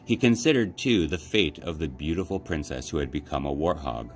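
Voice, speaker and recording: A man reading a children's novel aloud as a library storyteller, with measured, dramatic phrasing. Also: very deep voice, lively intonation, faint music behind. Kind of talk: children's audiobook-style read-aloud. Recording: clear background noise.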